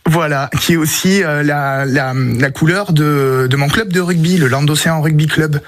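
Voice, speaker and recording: Male rapper from southern France, interview guest, slightly high voice, conversational, hesitant phrasing. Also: moderate pace. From countryside around Narbonne, southern France. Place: radio studio. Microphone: studio microphone.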